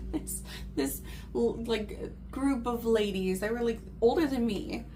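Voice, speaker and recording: A woman's voice: fairly high pitch, takes it slowly, noisy background. A woman in her thirties chatting casually and telling a rambling, meandering story with lots of filler phrases, like a vlogger catching up with viewers. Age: 30s. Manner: chatty, meandering, frequent "like" and "you know". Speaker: craft vlogger.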